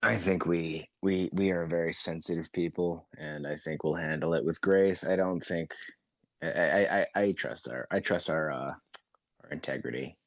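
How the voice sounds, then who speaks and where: A man in casual podcast conversation, talking in a halting, measured way. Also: low voice, dry recording, clean studio sound. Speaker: fandub team member on podcast.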